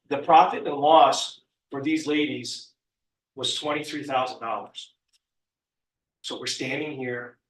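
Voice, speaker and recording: A man, hoarse from a cold, speaking as a union representative and laying out a measured formal account at a board meeting. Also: mid-range voice, fairly bright tone. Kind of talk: formal public address. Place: large meeting room. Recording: echoey room, voice from far off.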